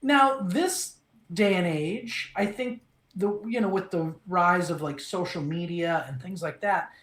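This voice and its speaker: Middle-aged voice actor guy from minnesota telling stories, animated, lots of restarts, echoey room. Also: high voice, unhurried. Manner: animated, restarts phrases, acts out quoted lines. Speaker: voice actor, interview guest. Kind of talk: relaxed storytelling.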